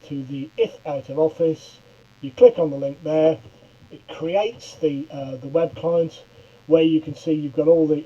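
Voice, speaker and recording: Male tutorial presenter, middle-aged, very mellow and slightly high-pitched, stumbles and repeats words, muffled lo-fi sound. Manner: halting, often stammers and repeats words. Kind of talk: informal product demonstration.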